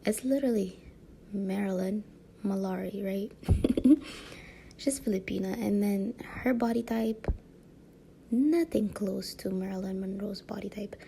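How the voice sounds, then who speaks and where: Woman vlogger, light French accent, gravelly dark voice, rambling casual talk, faint room hiss. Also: medium pitch, unhurried, long pauses, poor audio. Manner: rambling, many fillers and tag questions; frequent soft laughs. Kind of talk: casual monologue to camera.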